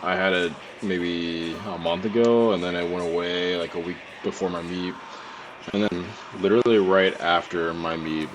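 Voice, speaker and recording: A young man with a very low voice talks over his training footage while getting over a cold. He sounds stuffy and congested and keeps clearing his throat, wandering from point to point with plenty of fillers. A faint hiss sits under the recording. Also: steady tone, moderate pace. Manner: rambling, many fillers and restarts. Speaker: powerlifter narrating his training. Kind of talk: casual voice-over narration.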